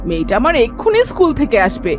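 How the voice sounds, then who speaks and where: A woman's voice: a little high, wildly swooping pitch, fast talker, music in the background. A woman voicing a cruel stepmother in a cartoon, harsh, bossy and taunting as she scolds. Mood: spiteful, taunting. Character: cruel, scolding stepmother of two orphaned sisters. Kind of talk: Bengali cartoon voice acting.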